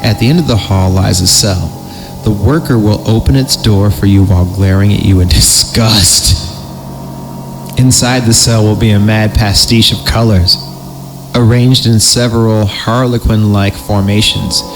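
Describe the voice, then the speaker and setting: A man's voice: on the low side, fairly even intonation, unhurried, background noise. A man hosting a podcast, reading horror stories in a theatrical way and breaking off for jokey asides. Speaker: podcast host. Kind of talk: dramatic horror story reading with joking asides.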